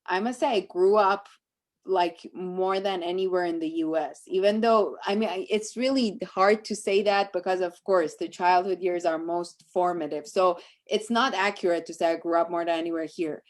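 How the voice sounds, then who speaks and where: A woman's voice: fairly low pitch, steady tone, normal speed. A woman from Iran, a native Persian speaker, talking reflectively and conversationally about her work as a guest speaker, searching for her phrasing as she goes.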